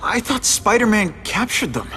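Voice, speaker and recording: A man's voice: sits high, sing-song, normal speed, bright, forceful tone, heavily acted. A man playing a polite, hesitant young news photographer doing an interview in a video game, plain-spoken and tentative. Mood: uneasy. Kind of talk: video game cutscene acting.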